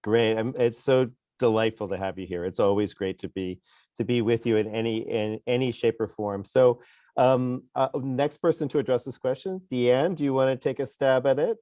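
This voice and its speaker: Man moderating with a dark, dry voice. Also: medium pitch, normal speed, no echo. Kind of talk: moderating a panel on a video call. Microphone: video call.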